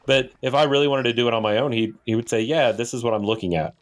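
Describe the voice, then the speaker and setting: American man, podcast co-host, casual, explanatory, emphatic, mid-pitched voice. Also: fast, a little hiss or hum. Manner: explanatory, emphatic, uses rhetorical questions.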